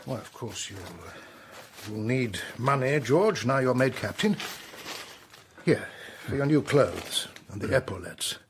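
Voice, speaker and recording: A man's voice: medium pitch, animated, slow pace, background noise. An actor playing a pompous, domineering older father in a period radio drama, speaking emphatically. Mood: gruffly conciliatory.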